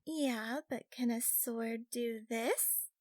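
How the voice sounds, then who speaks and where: Woman playing a perky high-voiced young catgirl. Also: slow, darkish tone, dry recording. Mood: teasing. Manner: playful, teasing. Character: perky, teasing young catgirl mage, a sister. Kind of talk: audiobook character acting.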